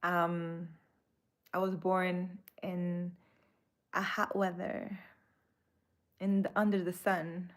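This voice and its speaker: A woman's voice: fairly low pitch, flat delivery, takes it slowly, pauses often. A woman from the Republic, chatting casually with viewers on a live stream, laughing often and slipping in Spanish words. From Dominican Republic. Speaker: live-stream host, spiritual healer.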